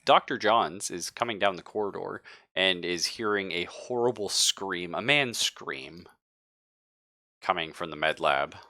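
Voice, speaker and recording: A man with a very low, bright-edged voice works as game master of a horror tabletop game. He narrates at an unhurried, deliberate pace with pauses for detail, then slips into casual chat. The sound is dry and close to the mic. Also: no echo. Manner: slow, deliberate, vivid descriptive storytelling with pauses.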